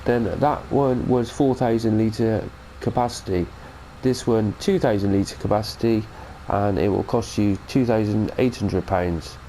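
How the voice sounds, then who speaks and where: A man's voice: on the low side, fairly even intonation, a little slow, hardly any pauses, dark tone, a little hiss or hum, low-quality recording. A British man with a cold, giving a chatty, rambling mod review of a farming game and correcting himself as he goes. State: has a cold. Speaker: gaming channel host. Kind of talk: casual mod review commentary.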